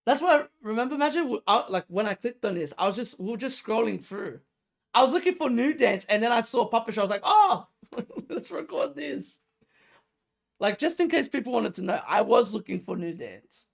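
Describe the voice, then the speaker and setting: An excitable reaction host talking casually and exclaiming over a song, with mild swearing and a creaky voice. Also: medium pitch, a little fast. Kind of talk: casual music reaction commentary. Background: pop music playing.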